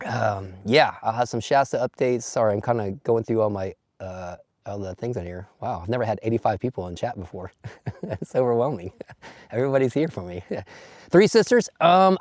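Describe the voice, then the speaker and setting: Slightly hoarse man chatting on a live stream. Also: mid-range voice, no room sound, clean studio sound. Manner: laughs often and greets viewers one by one. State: slightly hoarse after losing his voice. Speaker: vlogger. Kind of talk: casual live stream chat, reading comments. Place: outdoors at a campsite in bright sun. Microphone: phone.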